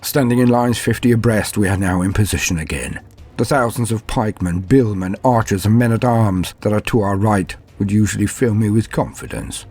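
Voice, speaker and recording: A man playing a frightened but dutiful knight in an audio drama, narrating gravely in archaic wording with dramatic pauses. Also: lowish voice, darkish tone, faint music behind. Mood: resigned resolve. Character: frightened but dutiful male knight recounting doomed battle.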